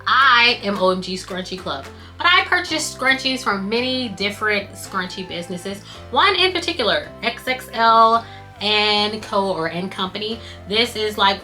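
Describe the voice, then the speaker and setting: A woman with a bright, forward voice talks through business tips in an easy, chatty way. She is losing her voice, so it wears thin in places, and faint music plays under her. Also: mid-range voice. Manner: chatty, reads tips off cue cards while sewing. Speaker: scrunchie business owner and channel host. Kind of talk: casual tutorial vlog.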